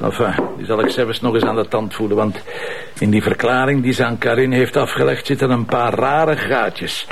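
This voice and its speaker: A man with a Flemish accent playing a blunt, sardonic police commissioner in a crime drama, bossy and colloquial, with a gravelly voice. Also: mid-range voice, steady tone, normal speed, noisy background, close to an everyday voice. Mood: bossy, dry. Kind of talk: acted drama dialogue.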